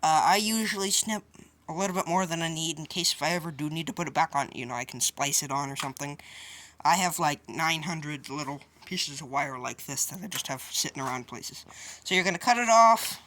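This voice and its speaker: A woman's voice: deep voice, a little fast, a bit bright. A woman hosting a DIY how-to video, explaining each step informally and a little hesitantly. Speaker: host of a DIY tutorial video. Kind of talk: casual hands-on how-to explanation.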